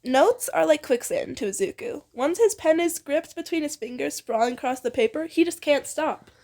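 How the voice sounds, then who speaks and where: A woman's voice: high voice, expressive, moderate pace, a little mellow. A young woman reading fan fiction aloud informally, playful and giggly, slipping into casual banter.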